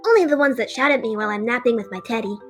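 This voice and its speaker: A woman's voice: fairly high pitch, racing, faint music behind, natural voice. A woman voicing a childish 200-year-old young dragon with a baby voice, cheerful about eating her guests, in a fan audio drama. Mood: playful.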